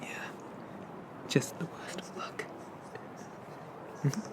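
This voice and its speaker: A performer playing a friendly, bashful monster barista in a soft, hesitant, gently chatty whispered ASMR roleplay. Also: drawn-out words. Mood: kind, helpful. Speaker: coffee shop barista.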